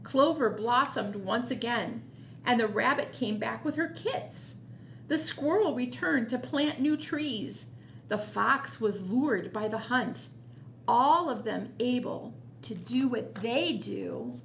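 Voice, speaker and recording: Slow, lilting woman reading to children. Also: a little high, gravelly, slight background noise. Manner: expressive storytelling with quiet asides. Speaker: storytime host. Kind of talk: children's picture book read-aloud.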